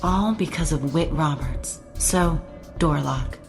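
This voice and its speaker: A woman playing a sharp, probing agent. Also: low-pitched, takes it slowly, vocal fry, music in the background, full character voice. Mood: urgent. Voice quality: direct, probing. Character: sharp, questioning female secret agent. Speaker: spy agent. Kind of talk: audio drama acting.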